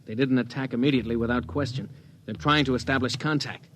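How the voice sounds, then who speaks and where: A man's voice: mid-range voice, fairly even intonation, fast talker, fairly bright tone, slight background noise, lightly acted. An actor playing an eager, earnest young space officer in a 1950s radio drama, respectful and hopeful, addressing his captain as sir. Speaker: ship's officer.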